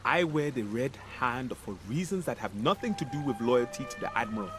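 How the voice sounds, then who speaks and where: Man acting a grim city guard, animated delivery. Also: medium pitch, brisk, background noise, natural voice. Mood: bitter, brooding. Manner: dramatic, brooding, earnest. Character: grim, embittered male city guard, loyal to townsfolk. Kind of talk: fantasy video game voice acting. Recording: game music behind.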